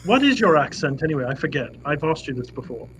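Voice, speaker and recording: A man teases his co-hosts in casual quiz podcast chat. His voice sits slightly high, and a faint hiss runs under the online call. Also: very animated, quick pace, fairly bright tone, poor audio.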